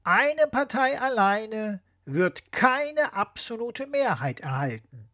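A woman's voice: fairly high pitch, big pitch swings, takes it slowly, fairly bright tone. A woman co-presenting a scripted explainer dialogue.

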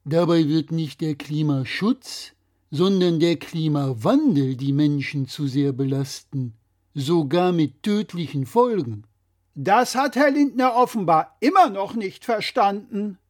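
A man's voice: a little high, lively intonation, a little slow, darkish tone. A man presenting a scripted political explainer in a didactic, critical way.

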